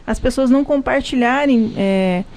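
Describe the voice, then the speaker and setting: A woman's voice: high-pitched, normal speed, a little mellow. A Brazilian woman, a pharmacist, explaining health topics in a live radio interview, with frequent restarts. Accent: Brazilian Portuguese. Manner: explanatory, many restarts and fillers. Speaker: pharmacist guest.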